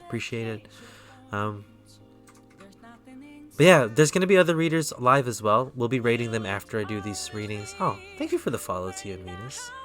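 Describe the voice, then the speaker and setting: A man with an American accent giving a relaxed, rambling tarot reading on a live stream, often stopping to correct himself. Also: medium pitch, moderate pace, soft background music. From Philippines.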